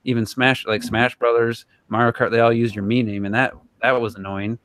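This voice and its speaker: A man's voice: mid-range voice, flat delivery, brisk, fairly bright tone, slight background noise. A man chatting casually and informally as a podcast co-host, in a rambling way. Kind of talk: casual gaming podcast discussion.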